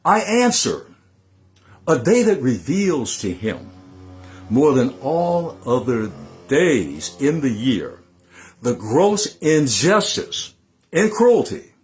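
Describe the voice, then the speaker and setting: An American man delivering an emphatic, theatrical oration, phrasing deliberately with dramatic pauses. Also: mid-range voice, huge pitch swings, faint music behind. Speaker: political analyst giving a dramatic speech reading. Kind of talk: oratory and dramatic reading of a historic speech.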